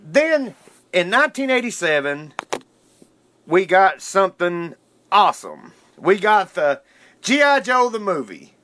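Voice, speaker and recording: A man's voice: high-pitched, big pitch swings, unhurried, sharp tone. A man hosting a solo podcast, talking casually in an informal, loosely worded enthusiast's way. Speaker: podcast host. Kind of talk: casual solo podcast talk, retelling cartoon history.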